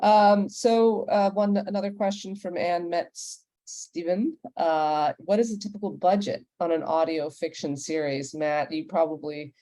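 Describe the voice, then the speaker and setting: A woman's voice: lowish voice, steady tone, unhurried, warm tone, dry recording. A woman hosting and moderating a panel discussion, speaking in a conversational way. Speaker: host and moderator.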